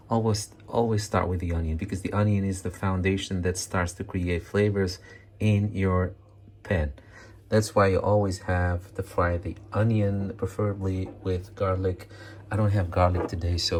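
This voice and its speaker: Deep-voiced man cooking. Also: a little mellow, a little hiss or hum. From Morocco. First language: Amazigh. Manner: chatty, searches for English words with many ums. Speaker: cooking video host. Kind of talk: casual cooking demonstration.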